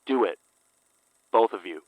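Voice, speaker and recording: A man's voice: lowish voice, expressive, a little slow, no echo, narrow, tinny audio. A man playing a wary, level-headed detective in a horror audio drama, speaking in a blunt, understated way. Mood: concerned.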